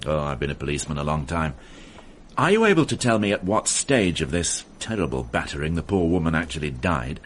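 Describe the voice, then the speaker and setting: An actor playing a weary, dry-witted police inspector in a radio drama, clipped and mildly sardonic. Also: male voice, a bass voice, very animated, fairly quick, a little hiss or hum.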